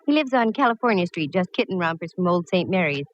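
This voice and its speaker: Woman as a low-voiced wisecracking lady private eye. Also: animated, fast talker, close to an everyday voice. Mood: wry narration. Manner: wry, flip. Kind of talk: 1940s radio acting. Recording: muffled, faintly hissy old radio recording.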